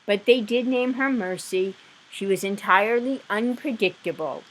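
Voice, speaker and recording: A woman reading a children's story aloud with expressive, deliberate narration. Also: medium pitch, slight background noise, rough recording. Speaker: storybook reader.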